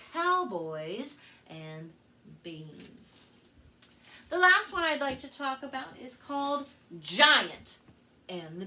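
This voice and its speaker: An American woman working as a children's librarian, telling stories and presenting to kids in an expressive, carefully spoken way. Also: mid-range voice. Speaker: children's librarian and storytime host. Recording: distant mic with faint background noise.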